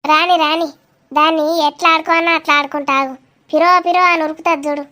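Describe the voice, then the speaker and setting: Squeaky, extremely high cartoon village aunt, Telangana Telugu accent, nosy, scolding, blunt. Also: female voice, steady tone, fast, bright, forceful tone. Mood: scornful, grumbling. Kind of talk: village comedy cartoon dialogue.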